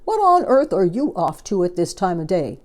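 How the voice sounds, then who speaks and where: A woman voicing a huge, disapproving hippopotamus in a children's storybook, exclaiming with slow emphasis. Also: on the low side, big pitch swings, a little mellow. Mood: suspicious.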